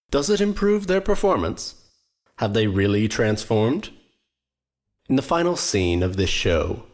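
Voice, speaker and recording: A man playing a theatrical, probing stage host in an audio drama, with a deliberate, rhetorical delivery. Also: lowish voice. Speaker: narrator and on-stage interviewer. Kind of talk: scripted stage narration.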